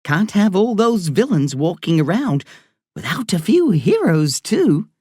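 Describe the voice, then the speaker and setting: A reader voicing a blunt but kind woman doctor with an Irish accent, earthy and warm in her talk. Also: mid-range voice, very animated, unhurried, slightly rough, no room sound, studio quality, voice pushed up. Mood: earnest. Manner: salty, earthy talk said with warmth. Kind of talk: audiobook character reading.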